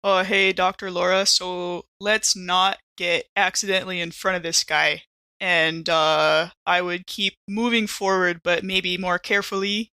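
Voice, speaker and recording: A woman's voice: on the low side, bright, forceful tone, no room sound. A woman chatting casually as a player in a tabletop roleplaying game.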